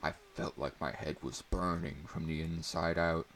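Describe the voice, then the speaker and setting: A man narrating a story as a dramatic reading, in a measured, theatrical manner. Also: extremely low pitch, steady tone, a bit bright.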